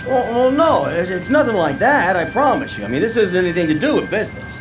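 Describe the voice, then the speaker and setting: An actor playing a friendly, bumbling neighborhood grocer in a radio drama, earnest and concerned, chatty but hesitant and stammering. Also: male voice, high voice, very animated, quick pace, lo-fi sound, over music.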